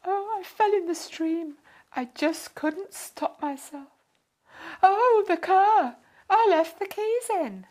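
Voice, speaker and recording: Woman doing a posh-type old lady for a kids story, really high and swoopy, flustered, gushing, so grateful. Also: animated, slow, a little mellow, full character voice. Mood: agitated. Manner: gushing, apologetic, polite. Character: flustered, well-to-do older lady, eager and grateful.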